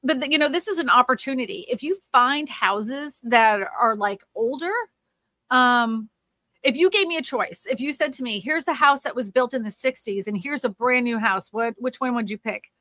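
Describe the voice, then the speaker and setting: A woman's voice: a little high, moderate pace, sharp tone, dry recording. Chatty nasal woman, dry and close. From Louisiana, USA. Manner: chatty and rambling, with frequent fillers and restarts. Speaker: housing market livestream host. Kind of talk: casual livestream chat reading comments.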